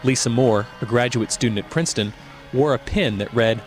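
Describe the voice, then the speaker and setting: A man narrating a scripted news report in a measured, even way. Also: mid-range voice, faint music behind.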